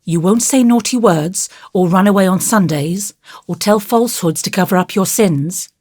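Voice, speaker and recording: Reader as a gentle, patient young woman. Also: mid-range voice, normal speed, dry recording, studio quality, lightly acted. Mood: kindly admonishing. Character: Anne, gentle, grave young woman comforting a child. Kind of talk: audiobook character voice.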